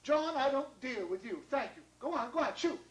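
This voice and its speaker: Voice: high voice, lively intonation, normal speed, echoey room, muffled recording, pitched above the performer's own voice. A storyteller voicing a brusque small-town lady, scolding and dismissive.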